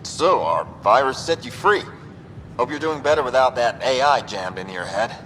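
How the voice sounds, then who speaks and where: An actor playing an eager, smug activist hacker in a video game, chatty and emphatic. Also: male voice, medium pitch, lively intonation, moderate pace, a bit bright, slight background noise, close to an everyday voice, low-quality recording. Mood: smug, friendly. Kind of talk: video game dialogue acting.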